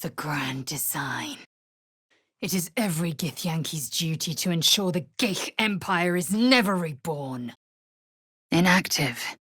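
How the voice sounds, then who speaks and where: An actress voicing a fierce, haughty alien warrior woman in a video game, with a husky voice and an imperious, clipped, disdainful manner. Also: low-pitched, lively intonation, unhurried, a bit bright, no room sound, studio quality, full character voice. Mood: quiet menace. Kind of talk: video game character voice lines.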